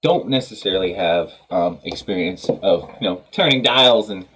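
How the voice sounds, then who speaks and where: Stuffy-nosed low-voiced streamer, husky. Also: male voice, moderate pace, fairly bright tone, low-quality recording. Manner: rambling, many ums and restarts, laughs easily. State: congested from a cold. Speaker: livestream host of a music workshop. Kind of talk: casual livestream chat. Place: home studio room.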